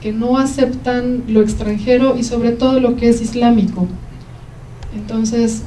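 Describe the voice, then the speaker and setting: A woman with a Mexican Spanish accent giving a university lecture, explaining at length and pausing often with "eh" and "em" as she answers questions. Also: on the high side, mellow. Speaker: guest lecturer. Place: lecture hall. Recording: roomy hall, mic at a distance, faint noise.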